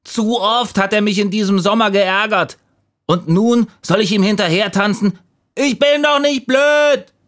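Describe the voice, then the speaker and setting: A man's voice: sits high, lively intonation, slow, fairly bright tone, pitched above the performer's own voice, heavily acted. A man voicing a timid but stubborn little leaf in a children's story. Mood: defiant.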